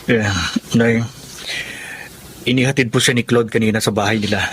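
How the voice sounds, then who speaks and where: An actor with a Filipino accent playing a shy, worried, humble grown son in a radio drama, hesitant and respectful. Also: male voice, medium pitch, steady tone, normal speed, fairly bright tone, husky. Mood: downcast, hesitant.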